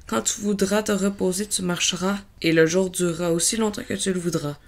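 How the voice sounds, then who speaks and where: A woman voicing a gentle, curious little boy prince in a storybook dramatization, earnest and innocent. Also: fairly low pitch, fairly even intonation, fairly quick. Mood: helpful, earnest.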